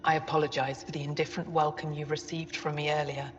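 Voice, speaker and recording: A reserved female alien scientist from a sci-fi game, voiced by an actress. Her lowish voice is formal and measured. It carries a long reverb, with faint music underneath. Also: level tone, dull audio, close to an everyday voice. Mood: gracious. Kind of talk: cinematic video game acting.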